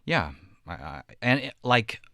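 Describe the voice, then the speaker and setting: A man's voice: mid-range voice, very animated, unhurried, creaky, sharp tone, no room sound, studio quality. A man chatting casually as a panelist on a talk show. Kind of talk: casual chat.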